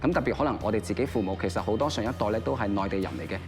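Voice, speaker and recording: Man, fast talker, lowish voice, frank, faint music. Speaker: young entrepreneur. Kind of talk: casual interview.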